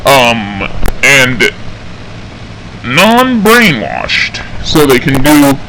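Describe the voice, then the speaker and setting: A man playing an officer of a sci-fi police engineering unit, giving a halting, methodical, official briefing in an amateur audio log. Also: mid-range voice, big pitch swings, background noise, full character voice. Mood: official, matter-of-fact. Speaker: roleplay game server member recording an audio log. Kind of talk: semi-scripted in-character briefing log.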